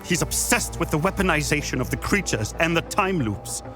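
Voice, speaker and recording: A man's voice: fairly high pitch, lively intonation, a little fast, lightly acted. Grave, guilt-ridden man over prominent music acted. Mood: bitter. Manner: grave, urgent. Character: guilt-ridden, bitter male insider pleading to end suffering. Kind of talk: dramatic video game acting.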